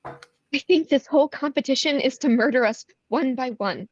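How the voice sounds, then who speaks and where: A woman's voice: high-pitched, normal speed, no room sound, highs cut off. A woman playing a frightened but defiant young beauty pageant contestant, urgent and emotional, in a screenplay table read. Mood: anxious.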